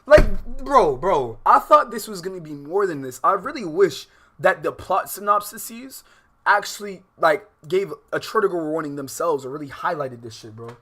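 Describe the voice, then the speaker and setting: A man's voice: high voice, lively intonation, normal speed, bright, forceful tone. A man doing casual livestream commentary on a game, sometimes reading its text aloud, talking in profane, exclamatory slang and repeating phrases insistently. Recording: music behind the voice. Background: background music, clearly heard.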